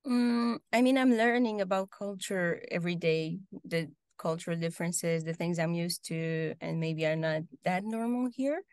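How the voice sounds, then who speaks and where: A woman's voice: on the low side, normal speed, few pauses, darkish tone, clear, resonant tone, no room sound. Young Romanian woman, hesitant and dry-sounding. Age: young adult. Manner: hesitant, many ums and restarts. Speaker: guest. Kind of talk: casual interview answers. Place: home room, video call.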